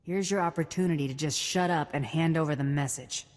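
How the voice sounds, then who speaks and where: An actress playing a tough, sarcastic bounty hunter in a video game, blunt and unimpressed. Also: female voice, sits low, a little fast, a little mellow, close to an everyday voice. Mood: sardonic. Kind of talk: scripted video game voice acting. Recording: hall reverb.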